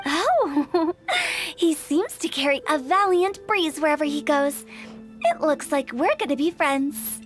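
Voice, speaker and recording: A woman's voice: high voice, expressive, slow pace, faint music behind. A woman voicing a mischievous young woman who runs a funeral parlor in a video game, cheeky and sing-song.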